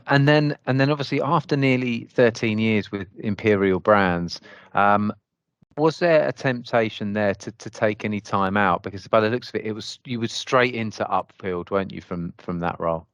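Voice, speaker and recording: A man's voice: fairly low pitch, moderate pace, fairly bright tone, clean tone, no echo, muffled recording. A man hosting a podcast, asking questions hesitantly and often repeating words as he forms them. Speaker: podcast host, interviewer. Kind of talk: interview questions.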